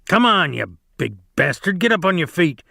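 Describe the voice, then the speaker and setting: A man's voice: on the high side, sing-song, moderate pace, gravelly, pushed and bright, slightly rough, no echo, studio quality, voice pushed up, full character voice. A man voicing a small, hot-tempered young man spoiling for a fight. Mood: belligerent. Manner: aggressive, belligerent.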